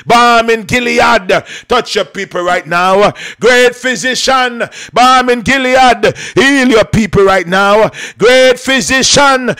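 A man's voice: high-pitched, pushed and bright, no room sound, studio quality. A man preaching in fervent Pentecostal prayer, declaiming in rhythmic, repeated phrases and reciting scripture.

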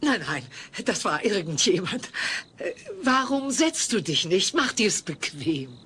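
An actress playing a brusque, scheming freak-show owner in an audio play, theatrical and bossy. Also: female voice, mid-range voice, sing-song, unhurried, a bit bright, breathy edge, heavily acted. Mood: falsely sweet. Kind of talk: German audio play acting. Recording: slightly noisy old cassette.

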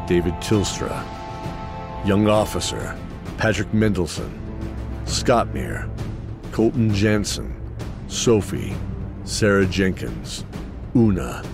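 Low-voiced man narrating over music. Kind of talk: scripted podcast credits narration.

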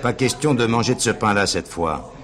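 An actor playing a menacing, domineering gangster in a crime radio drama, curt and threatening, speaking slang. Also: male voice, medium pitch, lively intonation, moderate pace, natural voice.

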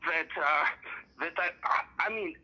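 A Polish man talking over a phone call, his English carrying a strong Polish accent. He rambles excitedly, stacking filler after filler, in a somewhat high, very bright and sharp voice. His first language is Polish. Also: slow. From Poland. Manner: excited, rambling, heavy use of "you know". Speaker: show host calling in. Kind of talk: casual phone chat.

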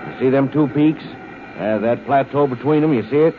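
An actor in a 1950s radio drama playing a folksy rancher who is secretly a cold alien pilot, with a drawling country way of talking. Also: male voice, medium pitch, lightly acted. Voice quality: drawling country speech, turning cool and measured. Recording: tinny old radio broadcast with hiss.

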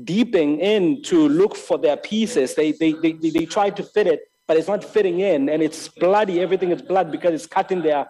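A man from Lesotho talks slowly through his ideas in a lecture hall, his voice mellow and a touch higher than most men's. He stumbles over repeated words and restarts often as he searches for the right phrasing, speaking into a desk microphone. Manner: halting, many repeated words and restarts, gestures with hands. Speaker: filmmaker giving a master class. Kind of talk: reflective spoken lecture. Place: large bright hall with tall windows. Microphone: desk microphone on a stand.

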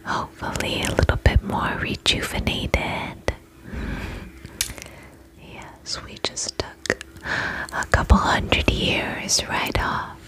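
A woman doing an ASMR spa roleplay as an attendant, speaking in a soft breathy whisper close to the mic, gentle, soothing and affectionate. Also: slow pace. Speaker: ASMR performer playing a vampire spa attendant. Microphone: close ASMR microphone.

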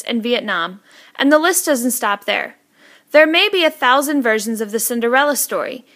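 A woman teacher reading a scripted educational narration in a clearly enunciated, instructional manner. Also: fairly high pitch, fairly bright tone.

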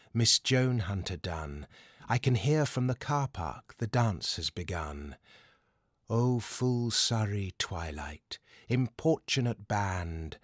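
A British man reads verse aloud in a lowish voice, taking his time over each phrase. His delivery is measured and expressive, and the sound is dry and close, without room echo. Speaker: poetry reader. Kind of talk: poetry recitation.

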